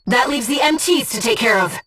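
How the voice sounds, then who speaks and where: A woman voicing a disembodied female guide who speaks in a pilot's head as mission operator in a video game, with a soft, breathy voice and a precise, earnest delivery. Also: a little high, animated, fairly quick, far from the mic, faint music behind. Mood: urgent, alert. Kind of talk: scripted video game voice lines, mission briefings and battle callouts.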